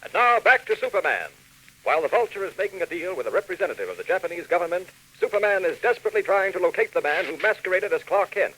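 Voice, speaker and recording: A man's voice: high voice, very animated, fast talker, sharp tone, husky, low-quality recording. An American man announcing a 1940s radio serial with dramatic, urgent scripted narration.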